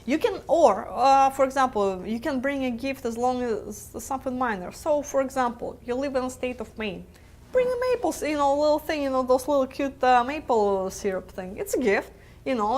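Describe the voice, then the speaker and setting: A woman hosting a live webcast, answering viewer questions in a chatty way with frequent laughs and fillers, her voice wearing out from talking. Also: high voice. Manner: chatty, laughs easily, many filler words and restarts. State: losing her voice.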